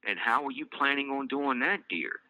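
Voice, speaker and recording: Man doing a patient, gently stern mom. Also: medium pitch, no echo, pitched above the performer's own voice, poor audio. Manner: soft, measured, motherly.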